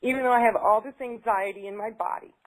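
A woman's voice: fairly high pitch, fairly even intonation, quick pace, slight background noise. A woman taking part in a tapping session, echoing phrases back and speaking hesitantly with many fillers when she talks freely. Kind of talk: repeating affirmations, then casual talk.

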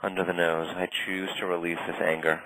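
A man guiding a tapping session in a calm, steady way, naming tapping points and saying short phrases for the other person to repeat. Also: low voice, rough recording. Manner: calm, slow, leads phrases for repetition. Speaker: tapping practitioner.

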